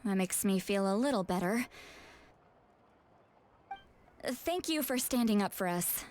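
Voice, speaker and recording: A woman's voice: mid-range voice, lively intonation, normal speed, darkish tone, lightly acted. A woman voicing an earnest, determined adult female ally in a video game. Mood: grateful, confiding.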